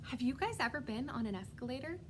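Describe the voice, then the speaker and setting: A woman with a fairly high voice reads aloud for preschool children. She is expressive and speaks simply, prompting her young listeners with questions. Some noise sits in the background of the recording. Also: fast talker. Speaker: preschool teacher.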